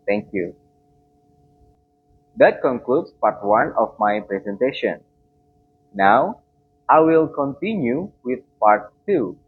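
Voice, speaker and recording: A young man whose first language is Indonesian gives a formal student presentation, reading from his notes. He speaks slowly and carefully, with a slightly husky voice. The recording is dull and lo-fi. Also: mid-range voice. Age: young adult. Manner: slow, careful, formal delivery.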